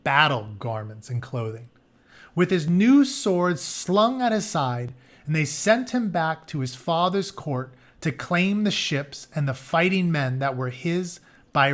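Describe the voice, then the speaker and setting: A man with a slightly high voice reads a story aloud for young listeners. He moves between expressive narration and informal explanations, talking like a teacher in plain words. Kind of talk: expressive read-aloud with casual explanatory asides.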